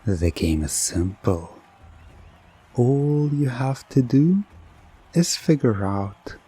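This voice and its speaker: A man doing hypnosis ASMR roleplay as a teasing, theatrical game show host, softly coaxing the listener into trance. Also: lowish voice, husky. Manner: slow, teasing, theatrical showman; soft, coaxing hypnotic induction.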